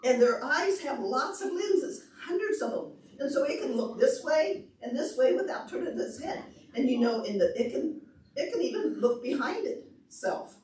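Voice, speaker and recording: A woman presenting to preschoolers and parents, explaining simply and asking questions in an animated, demonstrative way. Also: high voice, moderate pace, slight background noise. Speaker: visiting presenter at a children's library program. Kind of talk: interactive talk to preschoolers and parents. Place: large indoor library meeting room. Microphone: far camera. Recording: echoey room, distant camera microphone.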